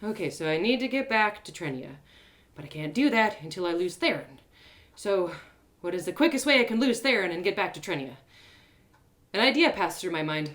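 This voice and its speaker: A woman narrating her own audio drama with an expressive, animated delivery. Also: fairly low pitch, fairly quick. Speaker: storyteller.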